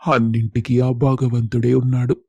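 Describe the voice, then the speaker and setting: Gentle, sorrowful village father played by a man. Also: medium pitch, level tone, normal speed, creaky, breathy edge, dry recording, muffled recording. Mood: sad, resigned. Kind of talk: Telugu moral story dialogue.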